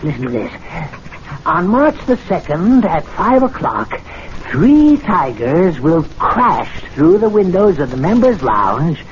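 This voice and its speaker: Aggrieved male club servant, spiteful and theatrical, lively swooping delivery, old radio drama with dull, noisy sound. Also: on the high side, takes it slowly. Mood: gleeful. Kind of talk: 1970s radio mystery drama acting.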